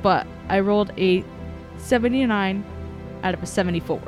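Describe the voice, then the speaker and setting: A woman's voice: medium pitch, normal speed. Slangy woman playing a tabletop game. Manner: informal, slangy. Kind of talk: casual play-along chat. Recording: music playing behind the voice.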